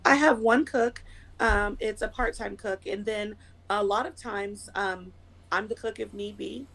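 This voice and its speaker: A woman guest, a little hoarse from a cold, talking nervously and quickly with many fillers and restarts. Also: medium pitch, fairly bright tone. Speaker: interview guest. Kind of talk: conversational interview.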